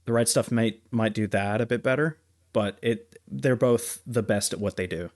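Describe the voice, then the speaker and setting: Guy with a fairly low voice just chatting movies as a podcast cohost. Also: normal speed. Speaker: podcast co-host. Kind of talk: casual film review discussion.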